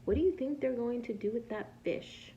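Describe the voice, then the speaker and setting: A woman preschool teacher reading a picture book aloud to young children in a patient, teacherly way, pausing to ask simple questions. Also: fairly high pitch, dark tone, dull audio, slight background noise.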